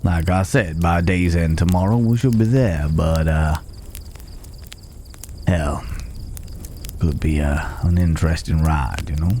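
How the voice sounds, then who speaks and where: A man playing a calm, protective cowboy stranger in an ASMR roleplay, talking in an unhurried, folksy way with hesitant pauses and gentle teasing. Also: low-pitched, slight background noise. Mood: calm, reassuring. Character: gruff but caring male cowboy stranger, calm and protective. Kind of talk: immersive ASMR roleplay acting. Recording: outdoor night ambience with chirping crickets.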